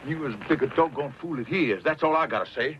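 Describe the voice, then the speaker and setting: An actor playing a gruff, folksy old American frontier scout in a radio western, with a blunt drawl. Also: male voice, a little high, quick pace, a crowd in the background, close to an everyday voice. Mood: scornful, blunt. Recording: dull lo-fi radio drama, music behind.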